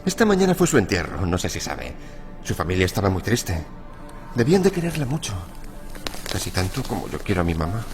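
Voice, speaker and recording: A man's voice: low voice, wildly swooping pitch, fairly quick, exaggerated voice. A man acting in a radio play as a disturbed, mother-obsessed grave robber, childlike and pleading. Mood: creepy tender. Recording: music behind the voice.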